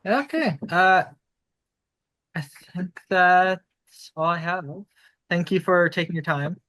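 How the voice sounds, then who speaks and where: A man with a fairly high voice puts questions to a guest, slowly and hesitantly. His speech drags with fillers, and the sound is dry and close. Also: steady tone, sharp tone, dry recording. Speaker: interviewer. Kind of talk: asking interview questions.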